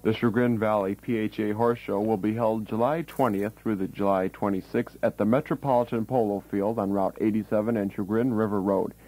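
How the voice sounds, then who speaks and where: A man's voice: fairly low pitch, fairly even intonation, fairly quick, hardly any pauses, warm tone, poor audio. A man reading a scripted community announcement as a radio announcer.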